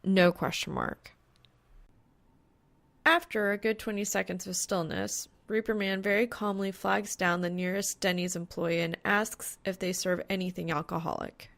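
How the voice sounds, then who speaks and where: A woman narrator reading fan fiction as an expressive audiobook. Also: fairly low pitch, normal speed, gravelly.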